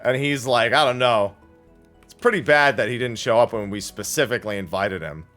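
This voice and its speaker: A man chatting casually as a livestream host, telling anecdotes in an animated, profane way and acting out the dialogue. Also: mid-range voice, a little fast, soft background music.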